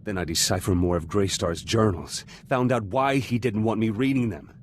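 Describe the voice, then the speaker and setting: A man's voice: on the low side, normal speed, slight background noise, close to an everyday voice. An actor playing a vengeful, fiercely loyal male resistance fighter in a video game, with a clipped, intense, dramatic delivery. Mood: bitter, betrayed. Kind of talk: scripted video game voice acting.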